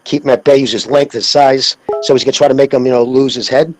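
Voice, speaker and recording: A man's voice: medium pitch, steady tone, fast talker, poor audio. A blunt, sweary man from the United States in a casual, rambling sports chat. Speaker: podcast panelist.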